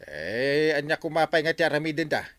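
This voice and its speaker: A man's voice: a little high, animated, fairly quick, fairly bright tone, dry recording, clean studio sound. A man playing an easygoing village man, reasoning hesitantly in a comedy drama. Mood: resigned, reasoning.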